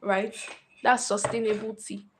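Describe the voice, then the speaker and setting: A woman's voice: mid-range voice, level tone, a little slow, noisy background. A woman, talking casually to camera, thinking aloud and often repeating phrases. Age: 20s. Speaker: vlogger.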